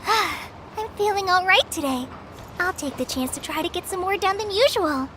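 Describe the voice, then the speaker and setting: A woman's voice: extremely high pitch, expressive, normal speed, sharp tone, slight background noise, exaggerated voice. A woman voicing a small, level-headed young girl who takes charge in a video game. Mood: calm. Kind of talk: animated video game voice acting.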